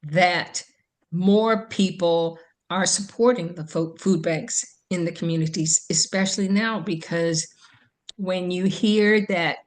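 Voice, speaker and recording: A woman co-hosting a podcast, speaking thoughtfully and hesitantly with frequent pauses. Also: on the low side. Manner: slow, thoughtful, hesitant with many ums. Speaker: podcast co-host. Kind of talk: casual chat.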